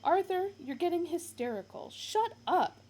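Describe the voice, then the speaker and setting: A brisk, quick-witted man who coaxes and needles those around him, as a woman reader plays him. His voice is raised high and lilts widely, with dark, mellow tones. It carries a slightly gravelly texture. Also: sing-song, exaggerated voice. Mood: coaxing.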